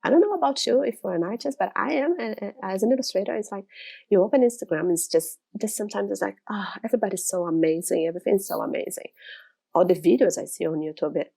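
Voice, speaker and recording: A woman's voice: medium pitch, quick pace, gravelly, dark tone, breathy edge. A Brazilian woman in her thirties, speaking English as a second language, chatting casually to camera from her studio, rambling with frequent restarts. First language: Portuguese. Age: 30s. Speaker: illustrator vlogger.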